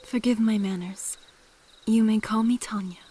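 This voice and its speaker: A woman playing a mysterious forest woman in an audio drama, speaking in a formal, measured, old-fashioned way and calmly giving instruction. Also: a little high, lightly acted. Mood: formal, courteous.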